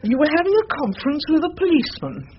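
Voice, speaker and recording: A stern older English wife in a radio play, high-voiced and swooping in pitch. She sounds imperious and reproachful. The old recording is slightly noisy and muffled. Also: very animated, fast talker. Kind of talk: British radio drama acting.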